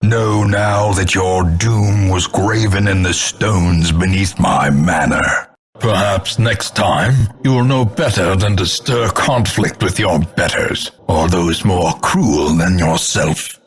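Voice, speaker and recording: An actor playing a grim, scornful older male announcer in a video game, grandiloquent and portentous, with a gravelly, husky voice. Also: deep voice, slow pace. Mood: scornful, gloating. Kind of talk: theatrical scripted game announcements.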